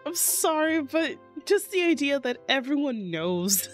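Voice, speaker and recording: A woman streamer chats and laughs through a game story. She talks slowly with an animated, fairly high pitch. Soft music plays under her voice. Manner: chatty, laughing, reacting. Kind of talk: gameplay reaction commentary.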